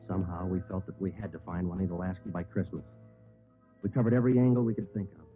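An actor as a low-voiced, fast-talking deadpan police detective. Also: male voice, natural voice. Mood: deadpan, grave. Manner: deadpan, clipped. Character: terse, matter-of-fact male police detective narrating his case. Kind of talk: 1950s radio crime drama acting and narration. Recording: muffled old radio recording, music behind.

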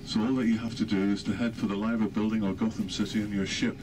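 A man giving sightseeing commentary as a tour guide, explaining in a measured, emphatic way with pauses. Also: fairly low pitch, level tone. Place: inside a moving tour bus. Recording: loud vehicle noise throughout. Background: engine and road noise.

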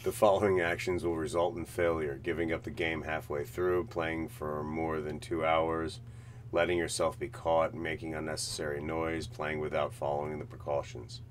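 A man's voice: a bass voice, flat delivery, normal speed. A middle-aged man hosting a casual reaction stream, reading on-screen text aloud and chatting in a muttering, wry way. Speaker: host of a reaction show. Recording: noisy home livestream audio.

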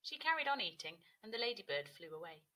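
A woman's voice: mid-range voice, big pitch swings, quick pace, sharp tone, poor audio. A woman in her thirties, a teacher, narrating a children's story expressively.

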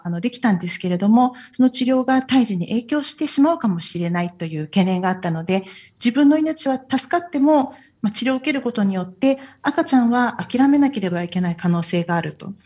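A woman's voice: on the high side, animated, brisk, dark tone, slightly rough. A woman working as an interpreter at a film festival talk, relaying careful, filler-heavy answers between English and Japanese. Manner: careful, filler-laden delivery.

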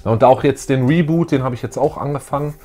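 A man's voice: mid-range voice, quick pace, a little mellow. Middle-aged man chatting as co-host. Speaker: YouTube co-host. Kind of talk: casual fan chat. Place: small room full of collectibles, indoors.

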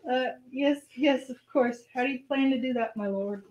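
A woman's voice: high-pitched, moderate pace, a little mellow, lo-fi sound, close to an everyday voice. A woman playing a deferential, mild-mannered courtier in an amateur radio play. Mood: uneasy, deferential.

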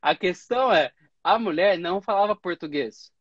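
A man's voice: sits high, expressive, normal speed, breathy edge, no echo, muffled recording. A man in his thirties from Brazil, hosting a casual interview over a video call and telling stories with a laugh. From Brazil, Campinas. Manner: chatty, storytelling, laughs easily. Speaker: host. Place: small room at home. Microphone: video call webcam.

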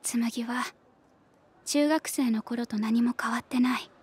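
An actress playing a blunt young woman in a radio drama, a rival friend who speaks in a pointed, chiding way with a husky voice. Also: fairly high pitch, fairly even intonation, slow, a little mellow, full character voice. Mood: cool, challenging.

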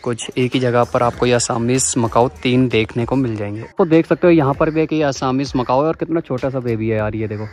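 A man's voice: mid-range voice, a little fast, hardly any pauses, a little mellow. A man vlogging a casual walking tour of a zoo, chatty and enthusiastic, often exclaiming, recorded outdoors on a handheld camera. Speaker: travel vlogger.

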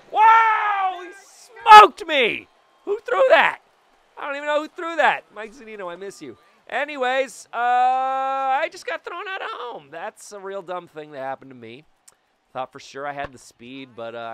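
Excitable man yelling over gameplay. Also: high-pitched. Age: 30s. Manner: excitable, jokey, bursts into loud yells. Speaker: gaming YouTuber. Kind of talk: gameplay commentary. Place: small room at home. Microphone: studio mic on boom, webcam framing.

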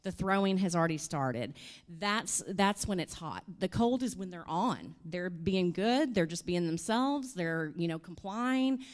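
A woman, speaking through a handheld mic in a hotel conference room. She talks in an animated, chatty way, full of "you know" and "I mean". Her voice sits a little low. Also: unhurried. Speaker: conference presenter. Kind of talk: workshop talk with audience questions. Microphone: handheld microphone.

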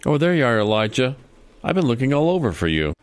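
A man's voice: medium pitch, big pitch swings, fast talker, clear, resonant tone, no room sound, natural voice. A man playing a cheerful, reassuring father in a comedy radio play. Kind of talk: comedic radio theater acting.

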